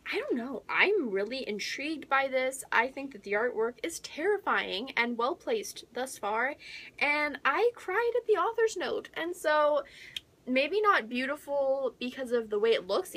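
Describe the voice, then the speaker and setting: A young woman chatting casually as a book vlogger, excitable and gushing, with dramatic emphasis and whispery asides. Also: high voice, moderate pace, husky.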